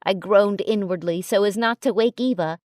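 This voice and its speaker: A woman narrating an audiobook in a calm, even storytelling manner. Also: mid-range voice, normal speed, dry recording, clean studio sound. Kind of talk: audiobook narration of a romance novel.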